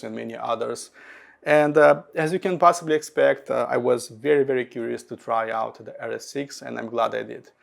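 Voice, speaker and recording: A man narrating a semi-scripted product review in measured phrases that start high and trail off low. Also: vocal fry. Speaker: audio gear reviewer.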